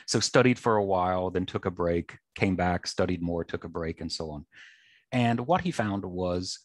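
A man in his thirties from North Carolina with a light Southern American accent, calmly explaining research as a guest on a video call, speaking through a headset with frequent ums. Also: deep voice, no echo. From North Carolina, USA. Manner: measured, lecturing, with frequent ums. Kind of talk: explanatory interview talk. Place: office with bookshelves and whiteboard.

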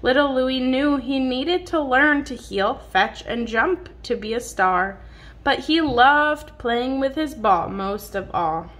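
A woman reading a picture book aloud to a young child in an expressive, child-directed way, drawing out words. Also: high-pitched, slow pace. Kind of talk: read-aloud storytelling to a young child, with simple questions. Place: indoors at home on a dark wood floor. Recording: noisy home phone recording.

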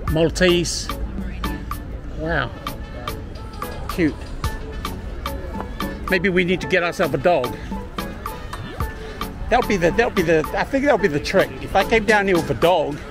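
A man chatting casually and slangily to viewers and passers-by as a friendly vlogger outdoors. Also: sits high. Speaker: vlogger and street interviewer. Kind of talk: casual vlog chat and street interviews. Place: outdoors at a busy beach promenade.

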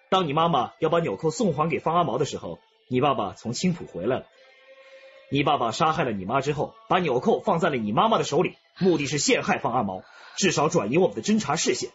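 A brisk, assertive police detective. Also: male voice, a little high, a bit bright, lo-fi sound. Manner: assertive, emphatic. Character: firm, sure male police detective laying out evidence. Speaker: actor in a radio drama. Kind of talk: radio drama acting.

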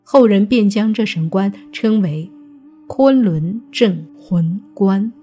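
A woman narrating a scripted suspense audiobook in a measured, deliberate storytelling manner. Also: medium pitch, dark tone, husky. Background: background music.

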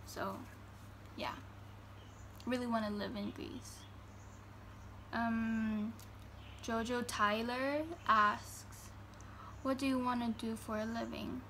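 A young woman in her teens, a vlogger from Germany, answering fan questions in casual chat in her bedroom, full of fillers and reading each question out slowly. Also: on the high side, steady tone, fairly bright tone, slight background noise. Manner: chatty, filler-heavy, reads questions slowly. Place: bedroom indoors. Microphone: phone camera at arm's length.

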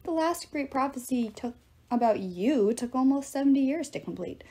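A woman plays a cocky young sun god in a storybook. He is breezy and cheerful, with a mellow tone. He speaks like a showman making grand announcements. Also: high voice, lively intonation, moderate pace, voice pushed up.

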